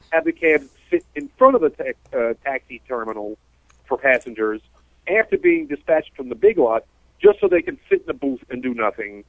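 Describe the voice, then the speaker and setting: A man's voice: fairly high pitch, dry recording. A man, co-hosting a casual talk show, ranting in a rambling, worked-up way about his job as a cab driver. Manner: ranting, animated, rambling complaints. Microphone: phone call. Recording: tinny phone line, dry.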